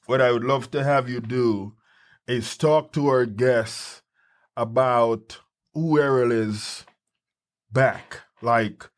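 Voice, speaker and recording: A man hosting a podcast in a studio, talking casually and energetically, often repeating quick words of agreement. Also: mid-range voice. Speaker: podcast host. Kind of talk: casual chat.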